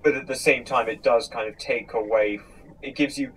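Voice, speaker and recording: A man's voice: medium pitch, fairly even intonation, moderate pace, crowd noise, low-quality recording. A man in casual chat, speaking hesitantly as he thinks aloud. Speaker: podcast host.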